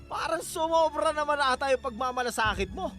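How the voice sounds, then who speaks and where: A bitter, jealous Filipino husband with a bright, forceful voice. He is curt and accusing in radio drama style, over faint music. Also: extremely high pitch, heavily acted.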